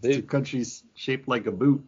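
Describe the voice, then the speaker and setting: Husky man chatting casually, muffled audio. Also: medium pitch, normal speed, darkish tone. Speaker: podcast co-host. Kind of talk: casual chat.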